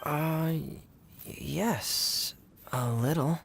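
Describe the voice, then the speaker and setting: Very low, reserved young companion. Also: female voice, drawn-out words, vocal fry, slight background noise, full character voice. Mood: reserved, hesitant. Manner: hesitant, understated. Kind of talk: English video game voice acting.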